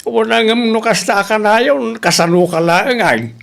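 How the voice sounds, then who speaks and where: A man's voice: high voice, normal speed, gravelly, a bit bright. A man playing a stern, worried father in an amateur audio drama, scolding and admonishing in an Ilocano accent. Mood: worried nagging. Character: stern, worried, nagging father of a grown daughter.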